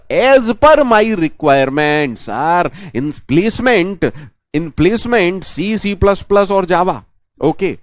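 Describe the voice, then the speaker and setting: A man's voice: high-pitched, dry recording. A man with an Indian English accent, a native Hindi speaker, giving an online programming lecture, repeating his phrases and answering questions on the students' behalf. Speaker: programming teacher.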